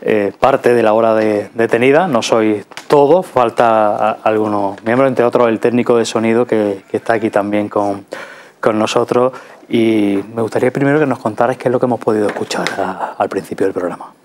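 A man's voice: lowish voice, fairly even intonation, fairly quick, darkish tone. A man with an accent from Spain, hosting a studio interview on a culture programme. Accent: Spanish (Spain). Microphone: lapel.

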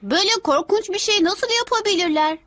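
A woman's voice: extremely high pitch, fairly quick, fairly bright tone, slight background noise. A woman voicing a plucky, determined little boy hero in a dubbed cartoon, intense and resolute. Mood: indignant.

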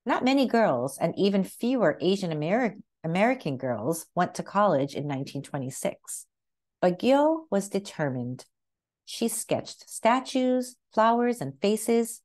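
A woman reading a children's picture book aloud as narrator, with expressive, carefully phrased storytelling. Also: medium pitch, measured pace.

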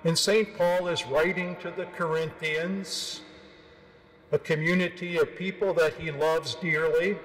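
An older man in his sixties preaches a homily from a cathedral pulpit. His voice sits a little high for a man and he speaks very slowly, in solemn, measured phrases. The sound carries a long church reverb. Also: steady tone, fairly bright tone. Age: 60s. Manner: measured, solemn, with deliberate pauses. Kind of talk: sermon. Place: large stone cathedral, at the pulpit. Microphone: gooseneck pulpit microphone.

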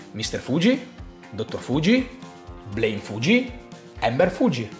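A man, explaining a theory to camera in an emphatic, suspenseful way, repeating phrases for effect. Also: fairly high pitch. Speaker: YouTube host. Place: small home room, indoors. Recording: roomy echo. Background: background music.

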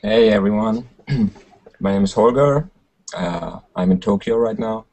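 A man in his twenties, a researcher and photographer, chatting on a webcam call from a small room in a relaxed, halting way. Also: on the low side. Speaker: panel guest, researcher. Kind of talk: casual video call chat.

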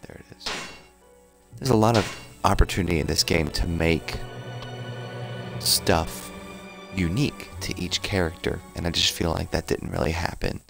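Man, gaming streamer, hushed casual commentary, very low pitch, loud game music behind. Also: moderate pace, gravelly, pauses often. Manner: speaking quietly so as not to wake someone. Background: video game music and sounds.

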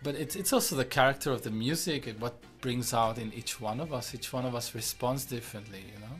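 A man from Argentina who grew up in Israel, a composer talking in a casual video-call interview, reflective and halting with many restarts. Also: medium pitch, a bit bright, soft background music. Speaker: composer and musician, guest. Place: home room, indoors.

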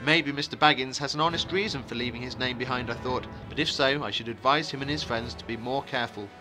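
A man voicing a calm, secretive and wary wandering stranger, with a brisk, commanding and ominous way of talking, as in a fantasy storybook. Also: medium pitch, music in the background, lightly acted. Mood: measured, amused.

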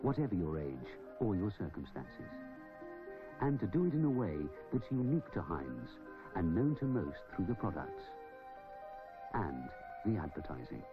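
A man narrates a corporate film in a fairly low voice with lively, swooping intonation. The sound is the dull track of an old film, with music clearly behind him. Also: normal speed. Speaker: presenter.

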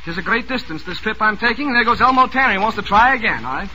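A man's voice: sits high, animated, pushed and bright. Genial man hosting an old radio quiz. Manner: genial, joking, brisk announcer patter. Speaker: quiz show host. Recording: noisy old radio broadcast recording.

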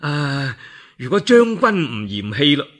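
A man voicing an ingratiating, scheming governor in a radio story, with a Cantonese accent and a flattering, deferential, drawn-out delivery. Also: fairly high pitch. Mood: flattering, eager. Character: ingratiating, scheming male governor, smooth-talking and eager to please.

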